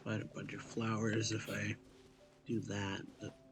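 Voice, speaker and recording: Male gamer, deeper voice, very slow and low-key, muttering casually, faint music underneath. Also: monotone. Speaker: gaming streamer. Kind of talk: casual let's play commentary.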